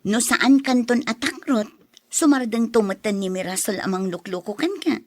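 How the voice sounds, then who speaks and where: A woman plays a concerned older sister in a radio drama. Her voice is fairly high and frank as she advises, recorded dry and close. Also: moderate pace, darkish tone, no room sound, lightly acted. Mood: advising.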